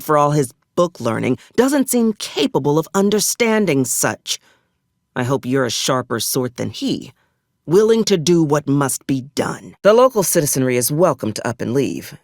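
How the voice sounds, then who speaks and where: Wry, ruthless officer, swooping intonation. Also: female voice, a bass voice, lively intonation, normal speed, darkish tone, dry recording, studio quality. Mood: cold, pragmatic. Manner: clipped, folksy archaic military speech, sardonic and menacing. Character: ruthless, wry female military officer, cold pragmatic commander. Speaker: acquisitions officer character in a video game. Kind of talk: scripted video game character dialogue.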